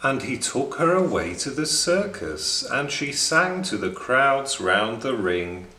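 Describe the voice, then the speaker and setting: A man reading a children's story aloud in an expressive narrating style. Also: mid-range voice, takes it slowly, slight background noise. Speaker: story reader. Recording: echoing room.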